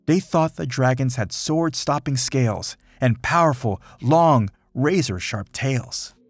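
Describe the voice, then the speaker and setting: A man reads a children's story in rhyming verse with a slightly husky, breathy edge to his voice. His pitch moves in a lively, dramatic way as he tells the tale. Faint music plays behind him. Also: slow, dry recording. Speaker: storybook reader. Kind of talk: children's picture book read-aloud, rhyming verse.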